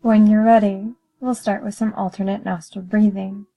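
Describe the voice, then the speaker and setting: Mellow-voiced woman guiding meditation. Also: medium pitch, creaky, faint music behind. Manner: calm, soothing, measured with gentle pauses. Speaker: guided meditation instructor. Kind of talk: guided meditation narration.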